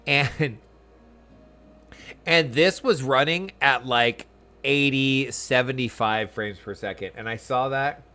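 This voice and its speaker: A man's voice: medium pitch, slow, bright, forceful tone. A man with an American accent chatting casually on a game livestream, rambling and self-deprecating as he reads and answers viewers' messages. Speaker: game streamer. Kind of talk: casual livestream chat over gameplay. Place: small room at home.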